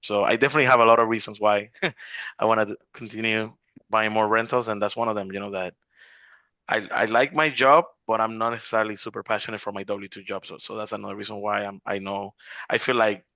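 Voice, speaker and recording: A man in his forties talks in a casual, meandering way, circling back with restarts and filler words. His voice sits on the lower side. The video-call audio is dry and slightly dull. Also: fairly even intonation, fast talker, bright, forceful tone, no room sound. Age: 40s. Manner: rambling, many restarts and "you know" fillers. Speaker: real estate investor in an accountability group.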